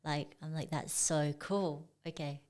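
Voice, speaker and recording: A young woman from Singapore, guest artist chatting casually in an interview, relaxed and full of "like" and "um". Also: low voice, measured pace. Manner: relaxed, many fillers like "like" and "um".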